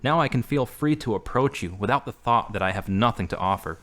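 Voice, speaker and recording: A man's voice: medium pitch, animated, a little fast, a bit bright, close to an everyday voice. A man playing an earnest, grieving former carpenter who is seeking faith again, in an amateur audio drama. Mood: earnest, relieved.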